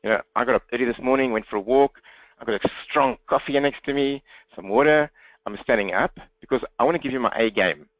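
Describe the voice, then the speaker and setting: A man from South Africa with a South African accent and a slightly croaky throat, presenting an interactive webinar training while standing at his computer, fast, direct and upbeat. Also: mid-range voice, fairly bright tone, dry recording, lo-fi sound.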